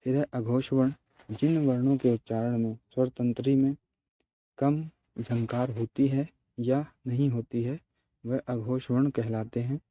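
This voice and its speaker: A man's voice: medium pitch, fairly even intonation, unhurried, no echo, lo-fi sound. A man teaching in a methodical way, reading out lesson notes and explaining them, repeating key terms and examples.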